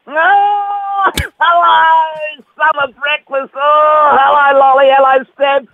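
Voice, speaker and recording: A man's voice: extremely high pitch, flat delivery, slow pace, vocal fry, raised voice, phone-quality sound, full character voice. A man voicing a flamboyant, gushing male entertainment reporter in a theatrical, cooing comic radio interview. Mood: gushing, giddy.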